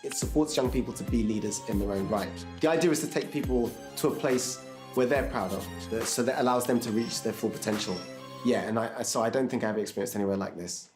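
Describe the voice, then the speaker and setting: Fast-talking man from a youth charity. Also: on the low side. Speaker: youth organisation staff. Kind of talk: documentary interview. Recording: music bed underneath.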